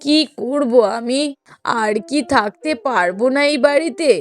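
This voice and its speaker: A frail old mother from a cartoon moral tale, played by a woman, pleads slowly and humbly. Her high voice is plaintive and beseeching, over background music. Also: a bit bright. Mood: worried, forlorn. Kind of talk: cartoon moral story voice acting.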